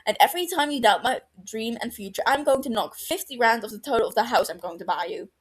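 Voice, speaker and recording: A woman voicing a cheeky, excitable, foul-mouthed British man in his twenties in an audio story. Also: fairly high pitch, quick pace, slightly rough. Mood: teasing, insistent. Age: 20s. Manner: sweary, argumentative, boisterous. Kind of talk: fan fiction audio story dialogue.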